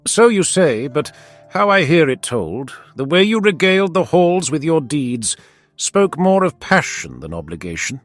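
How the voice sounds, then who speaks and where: A man voicing a poised, coy noblewoman who teases in formal, measured fantasy dialogue. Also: a little high, very animated, faint music behind, pitched above the performer's own voice. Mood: teasing, admiring. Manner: formal, measured, gently teasing. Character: poised, coy noblewoman teasing her brother. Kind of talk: fantasy audiobook character dialogue.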